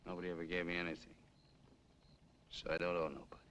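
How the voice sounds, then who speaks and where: An actor playing a bitter, menacing armed killer in 1950s film noir style, with an American accent and tough, slangy talk. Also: male voice, low voice, level tone, fast talker, a bit bright, lo-fi sound. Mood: bitter, boastful. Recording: noisy old film soundtrack.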